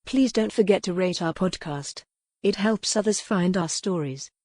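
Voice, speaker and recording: A woman's voice: lowish voice, normal speed, darkish tone, no echo, studio quality. Woman narrating a romance audiobook. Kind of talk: scripted romance fiction narration.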